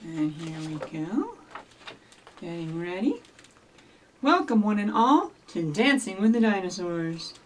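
A middle-aged woman hosting a children's storytime, giving simple instructions and reading rhymes to young children in an animated way, recorded by a camera a few feet away. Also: medium pitch, unhurried, long pauses, slight background noise. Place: small indoor office or library room with block walls. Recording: distant camera microphone.

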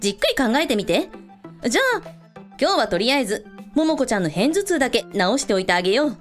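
Female hotel boss, radio drama, acted by a woman, highish animated delivery, confident, caring, faint music behind. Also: quick pace. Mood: reassuring. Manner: casually authoritative, blunt with staff. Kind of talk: scripted radio drama acting.

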